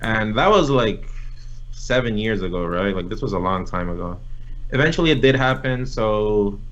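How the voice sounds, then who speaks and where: A man's voice: lowish voice, expressive, normal speed, a bit bright. A young man from Nicaragua, an interview guest, telling his story in a casual, rambling way with many fillers and restarts. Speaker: interview guest, competitive gamer. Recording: slightly dull lo-fi audio.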